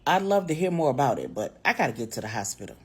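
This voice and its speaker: A woman storyteller voicing a brisk, knowledgeable professional woman in a businesslike, matter-of-fact manner. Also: a bass voice, very animated, darkish tone, husky, pitched below the performer's own voice, exaggerated voice. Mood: hurried.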